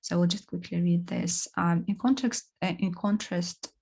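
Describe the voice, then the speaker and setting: A woman giving a seminar talk, halting, with many fillers and restarts. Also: fairly low pitch, steady tone, gravelly, darkish tone, slightly rough. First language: Russian. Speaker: course presenter.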